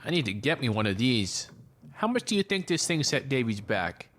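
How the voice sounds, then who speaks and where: A man's voice: mid-range voice, very animated, normal speed, a bit bright. A man playing a cocky, laid-back shipmate in an audio drama, casual and flippant.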